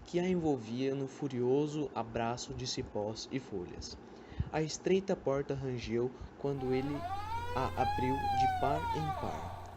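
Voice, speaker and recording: A young Brazilian man narrates a literary radio drama from a script. His voice is medium-pitched, with some faint noise in the amateur recording. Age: young adult.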